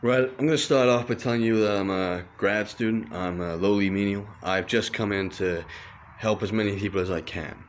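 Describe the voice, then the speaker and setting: A man's voice: on the low side, a little hiss or hum, close to an everyday voice. A man playing a nervous junior official. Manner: halting, stumbling over words. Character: nervous young male grad student official.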